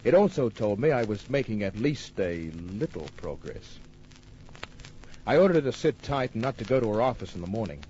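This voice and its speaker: An actor playing a wry, tough private detective narrating his case in an old-time radio drama, deadpan and wisecracking. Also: on the low side, normal speed, slight background noise. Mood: calm, in charge. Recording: muffled old radio recording with music.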